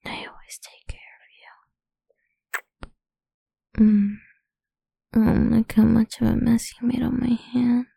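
A young woman speaks in a dominant, teasing whisper, pressed close to the microphone. Her voice is husky with a gravelly edge and a dark, mellow tone. She takes her time over every phrase, and the sound is dry. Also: a little high, dry recording. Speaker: audio roleplay performer. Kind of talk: whispered intimate roleplay.

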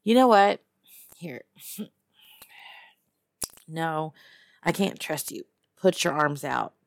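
A woman playing a tough, teasing lizard-person huntress in an immersive audio roleplay, with a Texas Southern accent and a sardonic drawl full of musing pauses and hums. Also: deep voice, animated, creaky, no room sound, full character voice. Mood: guarded, stern. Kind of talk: one-sided immersive audio roleplay to a captive listener.

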